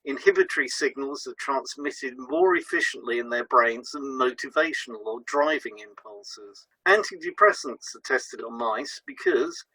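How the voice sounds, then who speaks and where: A man's voice: mid-range voice, bright, forceful tone, husky, no echo, rough recording. A man co-hosting an educational podcast, explaining from a script. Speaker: podcast co-host and hypnotherapist.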